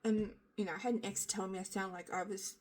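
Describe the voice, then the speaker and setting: A middle-aged woman with a husky, slightly nasal voice talks straight into a phone she holds in her hand. She is hoarse from being sick, rambles along in casual vlog chat, swears offhandedly and pokes fun at herself. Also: medium pitch, steady tone, quick pace. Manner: rambling, frank, self-deprecating, swears casually. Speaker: vlogger. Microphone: handheld phone camera.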